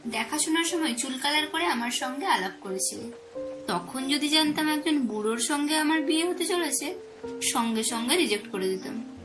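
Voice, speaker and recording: A woman's voice: sits high, expressive, normal speed. A woman playing a young, playful and affectionate Bengali wife in a romantic audio story, with teasing tenderness. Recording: music under the voice. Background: background music.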